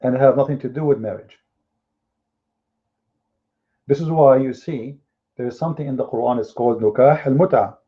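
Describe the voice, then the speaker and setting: A man's voice: medium pitch, normal speed, long pauses. A man whose first language is Arabic, with an Arabic accent, arguing heatedly in a live debate and firing off mocking rhetorical questions. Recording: phone-like lo-fi audio.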